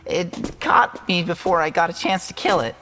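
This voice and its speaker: A woman's voice: fairly low pitch, lively intonation, normal speed, a bit bright, slight background noise. A woman playing an awkward, earnest teenage boy in an audio drama, hesitant and sheepish.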